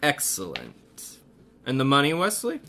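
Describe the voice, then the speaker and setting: An actor in a radio mystery playing a grandiose, greedy criminal mastermind, a gloating hypnotist doctor with a theatrical delivery. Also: male voice, mid-range voice, huge pitch swings, a little slow, vocal fry, bright, forceful tone, exaggerated voice. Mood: businesslike. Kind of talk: radio mystery drama acting.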